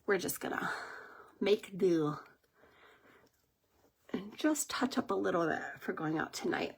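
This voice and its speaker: A woman with a cold, congested and husky, chatting casually while doing her makeup on a livestream, rambling and laughing often. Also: on the low side, lively intonation, unhurried. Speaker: makeup live-stream host.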